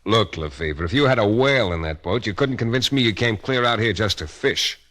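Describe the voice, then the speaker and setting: An actor playing a tough, world-weary private detective who narrates a hardboiled 1940s-style radio drama with clipped, sardonic delivery. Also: male voice, on the low side, expressive, fairly quick, fairly bright tone. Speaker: detective and first-person narrator.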